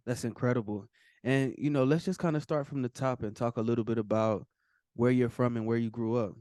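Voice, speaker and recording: A man's voice: medium pitch, steady tone, fairly quick, darkish tone, dry recording, studio quality. A man hosting an interview, asking questions in a hesitant way with many fillers. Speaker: host. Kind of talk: podcast interview.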